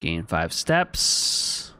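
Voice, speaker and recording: A man's voice: sits low, sing-song, very slow, bright, forceful tone, clean tone, no echo, studio quality. A man streaming a video game, thinking aloud, chatting with his viewers and reading on-screen text as he plays. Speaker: gaming streamer. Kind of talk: casual let's-play commentary.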